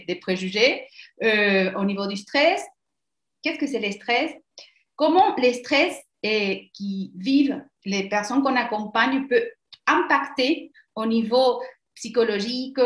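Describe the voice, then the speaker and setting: A woman with an Argentine accent presenting a webinar training as a psychologist, halting and searching for words. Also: a little high. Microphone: video call. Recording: roomy echo.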